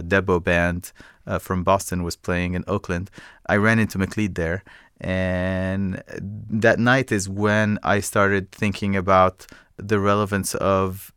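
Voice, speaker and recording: A middle-aged man from Egypt, a guest in an interview, answering in a thoughtful, measured way with many fillers. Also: low voice, a bit bright, clear, resonant tone, dry recording, clean studio sound. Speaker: guest, music project co-founder.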